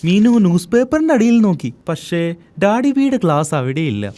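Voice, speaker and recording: Animated woman narrating a children's story. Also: medium pitch, fairly quick.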